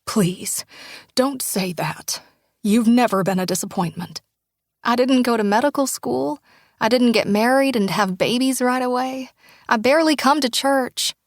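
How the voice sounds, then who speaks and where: A woman voicing an anxious young grown daughter who talks earnestly and apologetically, her pitch climbing. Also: measured pace, no echo, clean studio sound. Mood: apologetic. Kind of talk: audiobook character dialogue.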